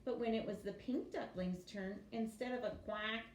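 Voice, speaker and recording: Female storybook reader, expressive teacher style for young kids; echoey room, noisy recording. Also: fairly high pitch, moderate pace. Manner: animated storytelling with acted character voices. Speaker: teacher reading a picture book to young pupils. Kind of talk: expressive children's storybook read-aloud. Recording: echoey room with clear background noise.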